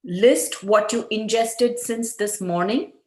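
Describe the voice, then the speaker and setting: A woman's voice: medium pitch, slow pace, darkish tone, close to an everyday voice. A woman in an amateur table read playing a firm, earnest doctor wife who scolds her husband about his health. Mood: firm, chiding. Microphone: video call. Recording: roomy, echoing video-call audio.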